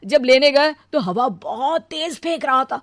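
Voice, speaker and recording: A woman's voice: sits high, expressive, a little slow, no room sound, clean studio sound. Woman playing a glib, boastful young groom. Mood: glib, self-justifying. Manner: glib, stammering excuses. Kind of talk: comic Hindi audio story dialogue.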